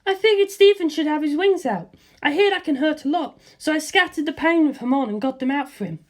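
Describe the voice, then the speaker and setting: A bold young woman fighter from a spoken adventure story, voiced by its reader, tossing off her lines with breezy, cheerful confidence. Her voice sits far higher than the narration around her, light and playful even with danger ahead. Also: a little fast. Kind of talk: audiobook character voice.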